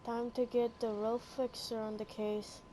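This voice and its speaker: A woman's voice: a little high, level tone, measured pace, mellow, slight background noise, close to an everyday voice, low-quality recording. An actress playing a composed, polite young female traveler in an English video game. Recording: music behind the voice. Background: game music.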